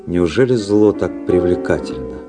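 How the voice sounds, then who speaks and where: An actor playing a worldly, cynical young officer in a radio drama, reflective and sardonic, with a measured delivery. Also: male voice, low voice, expressive. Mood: musing. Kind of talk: radio drama acting and first-person literary narration. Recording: radio play with faint music behind.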